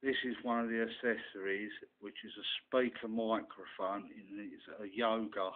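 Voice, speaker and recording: A man giving an informal show-and-tell demonstration, explaining haltingly piece by piece and often checking in with "right". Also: fairly low pitch, fairly even intonation. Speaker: hobbyist presenter. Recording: muffled, phone-like narrow-band camera audio.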